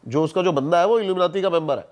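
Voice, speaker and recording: A man with a voice pitched a little high. He talks as a podcast guest, spinning stories and explanations in a casual way. He often repeats a word to drive it home. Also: very fast, a little mellow.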